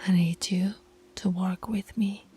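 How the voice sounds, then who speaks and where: A woman voicing a dominant, stern yet tender female alpha werewolf pack leader in an intimate audio roleplay, commanding and teasing, with a husky, breathy, creaky voice that often drops to murmurs. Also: lowish voice, steady tone, unhurried, dark tone, soft background music, lightly acted. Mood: firm, caring.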